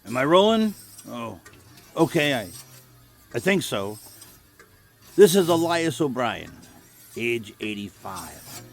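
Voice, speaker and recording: A slow, weary old veteran. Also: male voice, medium pitch, big pitch swings, heavily acted. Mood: hesitant, solemn. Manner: halting, reminiscing. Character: weary 85-year-old American war veteran recounting his past. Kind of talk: audio drama acting. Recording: old reel-to-reel tape testimony with music.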